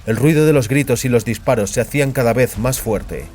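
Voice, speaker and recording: Hombre narrador de relatos dramáticos. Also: male voice, medium pitch, a little fast, music in the background. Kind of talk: scripted dramatic story narration.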